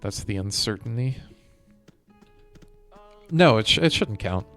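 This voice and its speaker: A man's voice: fairly low pitch, expressive, unhurried, a bit bright. Casual male game streamer over music. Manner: thinking aloud, rambling commentary to viewers. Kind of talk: casual chat while playing a game. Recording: music behind the voice.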